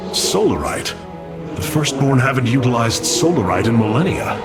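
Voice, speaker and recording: Man acting as noble alien warrior leader, video game drama, formal, commanding, expressive pitch, loud music behind. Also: moderate pace, close to an everyday voice. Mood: composed, commanding.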